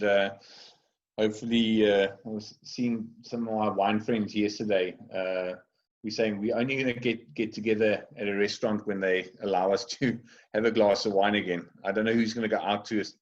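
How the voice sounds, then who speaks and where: Low-voiced man, halting. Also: fairly even intonation, muffled recording. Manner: halting, repeats words often. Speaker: wine trade guest. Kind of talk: casual interview answers.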